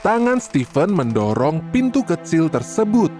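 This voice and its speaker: A storyteller narrating a scripted children's tale in an expressive, deliberate manner. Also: medium pitch, soft background music.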